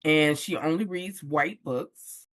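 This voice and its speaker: A woman speaking as a podcast guest in an unhurried, candid way, dropping slang as she tells her story. Her voice is dry and close, with no room around it. The delivery is loose conversation, not a script. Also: deep voice, a bit bright, dry recording. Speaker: podcast guest, book influencer. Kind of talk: casual conversation.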